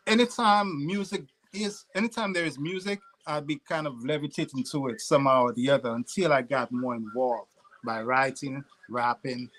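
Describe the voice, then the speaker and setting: A man's voice: mid-range voice, a bit bright, rough recording. A man from Saint Lucia with a Saint Lucian accent, a rapper talking casually about his music in an interview. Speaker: rapper guest.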